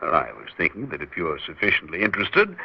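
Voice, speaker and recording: A smooth, persuasive research doctor who coaxes people along with little hesitations. His voice is lively and expressive. The old radio recording sounds dull and lo-fi. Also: low voice, fast talker, full character voice. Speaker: scientist. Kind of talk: 1960s radio drama acting.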